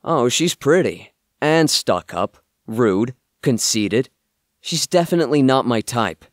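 A man playing a bookish young misfit and loyal son in an audio drama, earnest and expressive. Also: mid-range voice, slow, no room sound, studio quality. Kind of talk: amateur audio drama acting.

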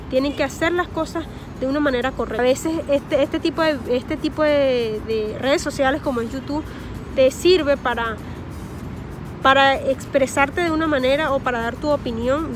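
A woman in her thirties with a Venezuelan accent, talking to camera outdoors in a park and earnestly giving advice, often repeating words as she searches for them. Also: high voice. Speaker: vlogger. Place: park outdoors among trees. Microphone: phone or camera at close range, face masked.

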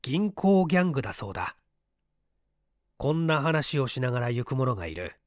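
A man reading a mystery story as scripted literary narration, in a measured storytelling manner. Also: medium pitch, sing-song, no echo, clean studio sound. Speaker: audiobook reader, stage actor.

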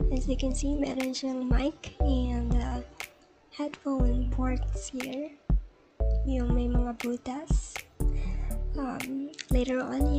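A woman with a Filipino accent giving a casual step-by-step tutorial, switching between two languages. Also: high-pitched. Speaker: tech tutorial host. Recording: music playing behind the voice. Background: background music.

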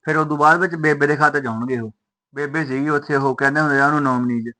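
A harried young son and husband, played by a man, pleads and reasons in quick bursts. His voice is high for a man. It sounds bright and pressed. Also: fairly even intonation, low-quality recording. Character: hard-pressed son caught between wife and mother. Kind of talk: Punjabi family drama acting.

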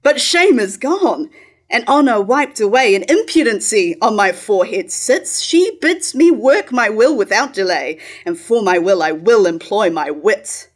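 A woman playing a proud, scornful, scheming royal sister in a staged reading of verse tragedy, declaiming in measured lines. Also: medium pitch, animated. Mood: defiant, scheming. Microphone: stand microphone at lectern.

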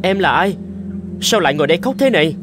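A man's voice: high-pitched, very animated, quick pace. A man voicing a kind young big-brotherly rabbit in a cartoon, speaking in a friendly, comforting, earnest way.

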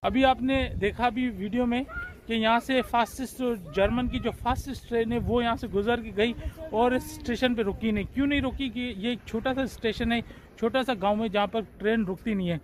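A man in his forties vlogging to his phone camera on an outdoor train platform at night, in a chatty, relaxed way. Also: very high-pitched, fairly quick, poor audio. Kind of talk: casual vlog narration. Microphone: phone at arm's length. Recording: faint outdoor background noise.

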